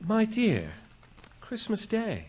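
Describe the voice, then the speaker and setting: An actor playing a gentle, kindly family father in a live radio drama, speaking mildly and earnestly. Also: mid-range voice, wildly swooping pitch, slow pace, breathy edge, full character voice. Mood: mild pleading.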